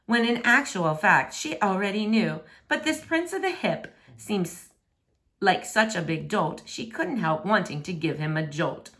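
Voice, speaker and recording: A woman narrating a rhyming children's story aloud in an expressive style. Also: mid-range voice, unhurried.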